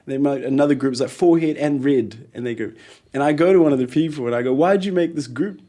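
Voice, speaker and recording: A man with a New Zealand accent, a guest in an interview, telling stories in a lively way and acting out what people said. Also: medium pitch, fast talker. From Ethiopia. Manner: animated storyteller, acts out quotes, laughs often. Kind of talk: conversational interview.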